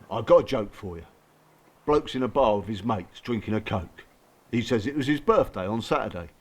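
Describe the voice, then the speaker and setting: An actor playing a blunt, bruised working-class support group regular in a radio drama, colloquial, sardonic and probing. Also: male voice, lowish voice, expressive, normal speed. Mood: joking, bitter. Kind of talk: naturalistic radio drama acting.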